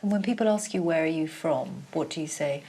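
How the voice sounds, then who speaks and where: A woman's voice: low voice, fairly quick, mellow, slight background noise. A woman reporter asking interviewees direct questions in the field.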